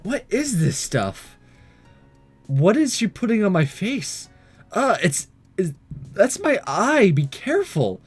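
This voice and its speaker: A man's voice: high-pitched, very animated, takes it slowly, breathy edge, faint music behind, heavily acted. A man voicing an awkward, nervous teenage boy in a visual novel game, hesitant and flustered.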